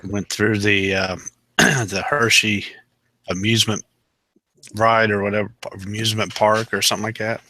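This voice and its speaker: A man's voice: on the low side, little pitch movement, moderate pace, pushed and bright, a little hiss or hum, poor audio. A man from Ohio chatting casually, hesitant and full of ums and uhs. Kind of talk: casual group chat.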